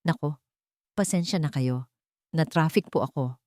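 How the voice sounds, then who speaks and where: A woman's voice: low voice, measured pace, warm tone, no room sound, clean studio sound. A woman voicing a guarded young heiress in a drama story, polite and resolute. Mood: sweetly polite.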